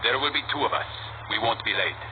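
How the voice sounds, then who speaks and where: A man's voice: medium pitch, brisk, natural voice. A man playing a cool, determined assassin in a video game, speaking in a terse, controlled, dry way. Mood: curious, businesslike. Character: cool, determined, dryly taunting young male assassin. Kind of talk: video game dialogue acting. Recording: tinny narrow-band audio with noise.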